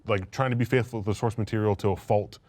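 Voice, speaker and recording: A man's voice: lowish voice, steady tone, quick pace, a little mellow, dry recording. A man speaking as a panelist in a casual discussion, often doubling back and repeating words mid-thought.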